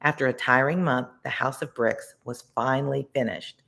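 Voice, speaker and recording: A read-along storyteller reading a children's tale expressively and deliberately, pausing to explain words simply like a tutor. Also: mid-range voice, fairly even intonation, gravelly, pushed and bright.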